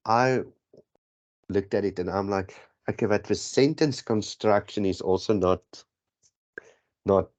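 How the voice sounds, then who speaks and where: A man's voice: low voice, dark tone. A man with a South African accent whose first language is Afrikaans, tutoring statistics for an exam in a rambling, self-correcting way, on dull lo-fi audio. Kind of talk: exam prep lesson.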